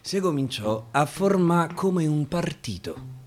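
High, animated Roman storytelling man. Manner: dramatic, with deliberate repetitions and pauses. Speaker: actor and storyteller. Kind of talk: theatrical dialect verse storytelling. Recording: live guitar behind the voice.

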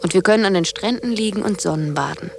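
A woman's voice: medium pitch, quick pace, creaky, a little hiss or hum, natural voice. An actress playing a cool, self-reliant younger woman in a German radio drama, dry, blunt and dismissive. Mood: sardonic.

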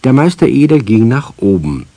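A man narrating a children's radio play as a calm, measured storyteller. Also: medium pitch, no echo.

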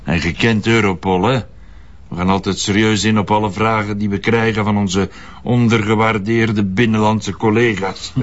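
A man's voice: lowish voice, fairly even intonation, normal speed, lo-fi sound, natural voice. A man playing a smug intelligence colonel in a crime drama, teasing and condescending, with a Flemish accent. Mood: falsely jovial.

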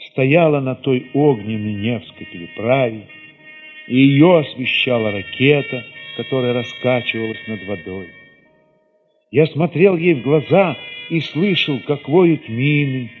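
A man narrating an audio drama in the first person, expressive and solemn, with weighty pauses. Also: medium pitch, in a large hall, phone-quality sound.

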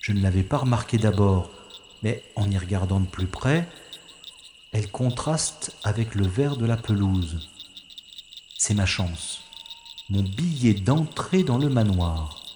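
A man's voice: lowish voice, a little mellow, a little hiss or hum. An actor playing a wry male secret agent who narrates his own sneaking through a manor in a sci-fi audio drama. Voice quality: dry, ironic inner monologue. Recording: echoey room with long reverb.

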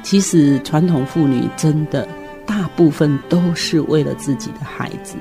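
A middle-aged woman with a Taiwanese Mandarin accent, explaining reflectively in short, deliberate phrases as a guest on a radio discussion. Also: low-pitched, over music. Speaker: guest commentator, educator.

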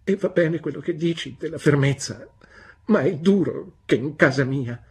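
A man voicing a timid young wife who pleads through tears, trembling and high. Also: expressive, moderate pace, gravelly, dark tone, slightly rough, full character voice. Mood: hurt.

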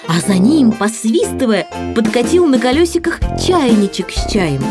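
A woman narrating a children's story as an expressive audiobook reader, with music behind her. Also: fairly high pitch, moderate pace.

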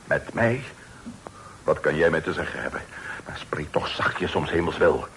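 An actor playing a nervous, fearful gentleman in a radio play, hesitant and flustered, urgently pleading for caution. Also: very deep voice, lively intonation, moderate pace, vocal fry, heavily acted. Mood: anxious, hushed. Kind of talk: old Dutch radio play acting. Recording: noisy old radio play recording.

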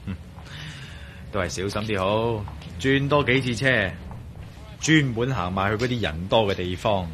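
A man acting a resolute, wary yet reassuring adventurer in a radio drama, with a Hong Kong Cantonese accent, speaking in colloquial, expressive monologue. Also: fairly low pitch, measured pace, a little hiss or hum. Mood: wary, self-talking. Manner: colloquial, self-talking, expressive.

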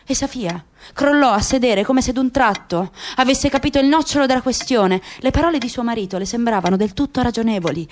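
Female narrator for literary radio theatre, high voice, slight noise on the recording. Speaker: narrator, actress. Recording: faint background noise.

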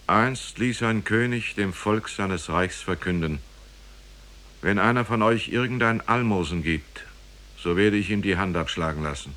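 An actor playing a grave storyteller, reciting an old parable in a solemn, measured, formal way for a 1950s German radio play. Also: male voice, low voice, fairly bright tone.

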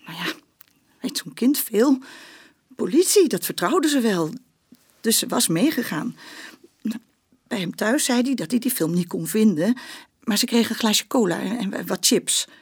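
A woman with a Dutch accent playing an anxious mother who gives a hesitant, halting statement to police in a naturalistic radio drama. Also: mid-range voice, expressive, breathy edge. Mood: distressed, subdued. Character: anxious mother recounting her daughter's abuse to police.

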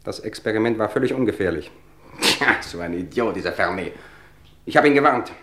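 A man's voice: lowish voice, expressive, a bit bright. Suave, mocking male poisoner. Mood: bitterly agitated. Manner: suave, mocking, measured, theatrically polite. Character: smug, cultivated male poisoner, coolly taunting police. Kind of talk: vintage German radio crime drama acting.

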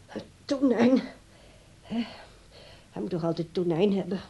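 An actress playing a fretful, dissatisfied grown daughter in a radio play, complaining and blaming in a put-upon way. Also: female voice, mid-range voice, measured pace, dark tone, highs cut off, natural voice.

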